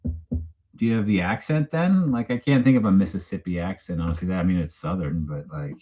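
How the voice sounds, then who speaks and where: A man with a Canadian accent and a fairly low voice hosts a loose live chat. He talks off the cuff in an informal, rambling way, with a teasing streak. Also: brisk, warm tone. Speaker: livestream host. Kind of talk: casual unscripted chat, reading live comments.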